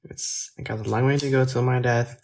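A man's voice: medium pitch, steady tone, a little fast, gravelly, slight background noise. A teenage boy, chatting casually on a phone livestream in a small room at night, answering viewers' questions. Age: teens. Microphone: phone at arm's length.

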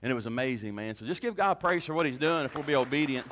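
Fervent pastor. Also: male voice, medium pitch, expressive, fast, a bit bright, some chatter behind, slight background noise. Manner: fervent, exhorting, with restarts. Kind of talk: church service testimony lead-in. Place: small church sanctuary. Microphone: handheld mic, far camera.

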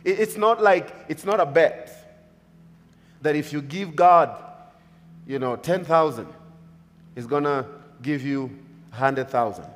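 A man's voice: on the high side, unhurried, long pauses. A man in his thirties with a Kenyan accent, preaching a sermon from a church pulpit in an emphatic, repetitive cadence. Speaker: pastor. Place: church stage with large hall. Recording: long reverb of a church hall.

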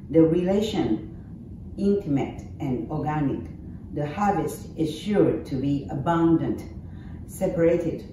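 An older woman, a native Taiwanese speaker, reading scripture aloud slowly and carefully from a sheet of paper. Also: low voice, dark tone. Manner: slow, measured reading from paper. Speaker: scripture reader. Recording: echoey, distant mic with faint hiss.

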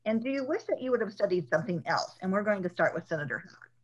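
An older woman moderating a panel over a video call from home, asking questions with halting repeats. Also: mid-range voice, animated, muffled recording. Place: home room.

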